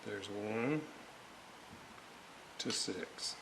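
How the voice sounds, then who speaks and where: A man with a gravelly voice, muttering haltingly to himself as he thinks aloud through technical work and repeats readings. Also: lowish voice. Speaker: radio restorer. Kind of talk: thinking aloud while working. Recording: clearly noisy workshop recording.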